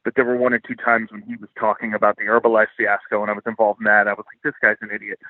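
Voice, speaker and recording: A man's voice: fairly low pitch, completely flat delivery, racing, no echo, phone-quality sound. Blunt, profane man in a dry recording. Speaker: podcast guest, financial commentator. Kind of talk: casual interview talk, opinionated commentary.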